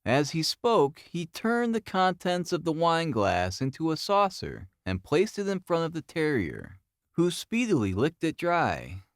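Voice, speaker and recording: A man narrates a classic detective novel in a dramatic, scripted style. His voice sits a little high for a man and moves through a very wide melodic range. The recording is dry, with no sense of room. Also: huge pitch swings, unhurried, dry recording. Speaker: audiobook narrator.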